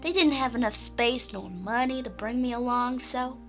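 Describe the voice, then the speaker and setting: Woman playing a high, bright, defiant teenage girl. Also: normal speed, soft background music. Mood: reluctant confession. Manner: sassy, guarded, pointed politeness. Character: defiant, sharp-tongued fifteen-year-old girl, polite on the surface. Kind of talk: radio drama acting.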